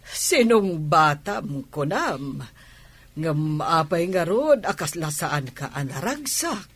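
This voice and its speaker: An actress playing a prying older matchmaking aunt in a radio drama, with a husky voice and Ilocano accent. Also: female voice, sits low, big pitch swings, slow, fairly bright tone, full character voice. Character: older auntie, prying matchmaker wanting a grandchild. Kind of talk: Filipino radio drama acting.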